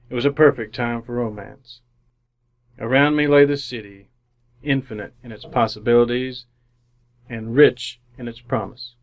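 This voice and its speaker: An actor playing an awkward, lonely city bachelor in an old-time radio drama, halting and bemused as he talks and narrates. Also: medium pitch, close to an everyday voice, low-quality recording.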